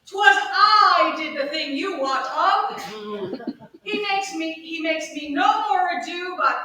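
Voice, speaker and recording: Slow, high-pitched comic servant played by a woman, very echoey. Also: a crowd in the background. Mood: aggrieved, comic. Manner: slow, declamatory storytelling, mimicking quoted speakers. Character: put-upon comic male servant grumbling about his dog. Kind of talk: staged reading of Shakespeare comedy monologue. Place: live staged reading, recorded from audience distance. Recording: echoey room, distant mic, faint noise.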